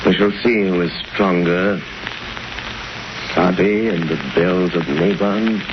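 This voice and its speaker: An actor playing a calm, resolute crime fighter in a 1930s radio drama, measured and grave. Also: male voice, low voice. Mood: grave, commanding. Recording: muffled, hissy 1930s radio recording.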